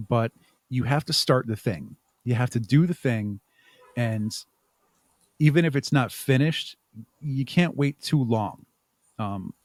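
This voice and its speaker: A man's voice: mid-range voice, a little mellow, dry recording. Casual man chatting as a podcast guest. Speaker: podcast guest, audio drama creator and podcaster. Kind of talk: casual interview chat.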